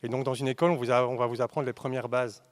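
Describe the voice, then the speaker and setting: A man's voice: mid-range voice, little pitch movement, racing. A man from Belgium, speaking as a cinematographer and masterclass guest, explaining his work to an audience in an informal, conversational way, often rephrasing. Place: arts venue, live masterclass.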